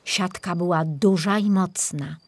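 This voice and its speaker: Low-voiced woman narrating a fairy tale. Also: a little slow, breathy edge. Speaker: main narrator of a children's audiobook. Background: music.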